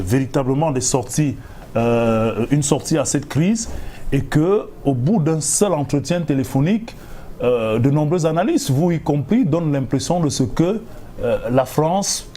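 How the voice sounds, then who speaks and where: A man with a Cameroonian accent hosting a formal current-affairs interview, building long, deliberate questions clause by clause. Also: on the high side, dark tone, slight background noise. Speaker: TV interviewer, host.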